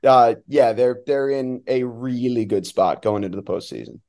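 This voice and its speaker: American man, mellow voice, talking soccer. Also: mid-range voice, normal speed. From New Jersey, United States. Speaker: radio play-by-play announcer, guest. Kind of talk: casual sports analysis in an interview.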